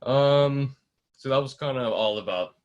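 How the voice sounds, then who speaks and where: A man interviewing in a casual chat, phrasing things in a tentative, halting way. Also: medium pitch, muffled recording.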